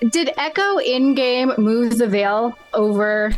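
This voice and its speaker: A woman's voice: fairly high pitch, expressive, slow pace. Woman roleplaying, repetitive hesitant phrasing, over music. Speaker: tabletop roleplay player. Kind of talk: casual improvised roleplay narration. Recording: music bed behind the voice.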